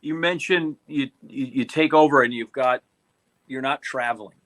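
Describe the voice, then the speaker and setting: A man's voice: medium pitch, moderate pace, a bit bright. A man asking questions as a co-host in a casual interview.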